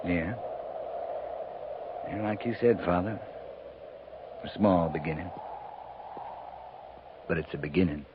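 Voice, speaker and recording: Cavalry captain: American, plain-spoken, wary, slightly deep, noisy old radio sound. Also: male voice, takes it slowly, pauses often. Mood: thoughtful. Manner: terse, guarded. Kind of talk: 1950s radio drama acting. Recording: tinny, noisy 1950s radio recording.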